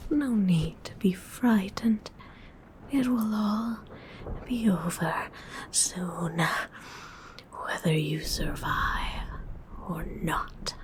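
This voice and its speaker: A woman voicing a predatory, hungry female vampire in a breathy whisper, a seductive and politely menacing ASMR roleplay monologue. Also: medium pitch. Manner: slow, drawn-out, teasing menace. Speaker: ASMR voice performer.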